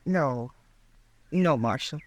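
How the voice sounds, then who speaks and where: An actress playing a grieving, plainspoken frontier widow with a rural American accent in an old radio drama. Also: female voice, rumbling low, expressive, slow pace, darkish tone, dry recording, full character voice. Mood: subdued grief.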